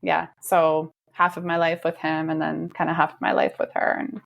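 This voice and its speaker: A woman in her thirties being interviewed on a podcast. Her voice sits a bit low and she talks in an easy, relaxed way, laughing lightly and filling pauses with ums. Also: gravelly. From United States. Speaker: realtor guest. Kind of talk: casual interview chat.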